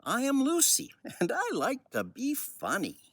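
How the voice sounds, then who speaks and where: An older man with a husky voice, speaking expressively and without hurry like a children's author telling a story. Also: very high voice, wildly swooping pitch, unhurried, fairly bright tone. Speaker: children's book author reading aloud. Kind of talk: personal commentary and storybook reading.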